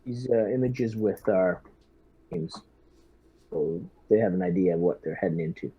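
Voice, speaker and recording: A man playing a tabletop roleplaying game, talking through tactics casually in a measured, halting way. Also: low voice, husky. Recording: dull lo-fi voice-chat audio.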